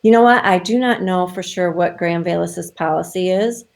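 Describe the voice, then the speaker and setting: A chatty woman guest talks in a relaxed, loose way, dotting her answers with "um" and short laughs. Her voice sits a bit low and has a gravelly edge. She comes through a video call mic. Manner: chatty, filler-heavy, quick laughs. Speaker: travel advisor guest. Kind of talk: casual interview chat.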